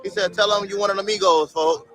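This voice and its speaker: A young man with a high, bright, ringing voice talks loosely to his stream chat, full of slang and swearing. He reads viewer comments aloud and laughs them off while out on a city street, talking into a phone held at arm's length. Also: little pitch movement, quick pace. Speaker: livestreamer. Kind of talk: casual livestream chat reading viewer comments.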